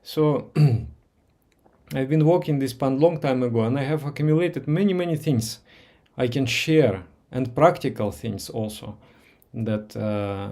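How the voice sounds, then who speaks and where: A man in at least his forties with a Russian accent talks slowly and softly as a meditation teacher. His voice is warm and mellow, and he pauses often, repeating words and searching for them in English. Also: medium pitch. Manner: slow, pausing, gentle, with many "uh"s and repeats. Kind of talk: live Q&A session with viewers.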